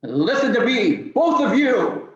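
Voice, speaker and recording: A man's voice: extremely high pitch, very animated, unhurried, heavily acted. A man playing the menacing ghost of an old English knight in a radio drama, declaiming pompously in archaic speech. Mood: vengeful. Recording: echoing, slightly muffled sound.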